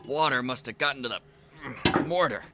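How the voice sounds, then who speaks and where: An actor playing a cynical, greedy younger tough in an audio drama, speaking bluntly with sarcastic suspicion. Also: male voice, medium pitch, lively intonation, a little fast, very noisy, natural voice. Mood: irritated.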